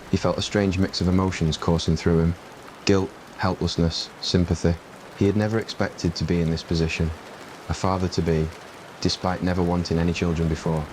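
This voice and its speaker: A man's voice: sits low, little pitch movement, normal speed. A man reading scripted narration for an ASMR roleplay story. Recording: clear rain noise behind voice. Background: rain ambience.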